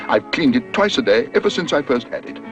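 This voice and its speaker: A man's voice: on the high side, animated, a little fast, over music, voice pushed up, close to an everyday voice. A man voicing a polite, earnest young male bear in a storybook reading, with prim, proper diction. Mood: dismayed.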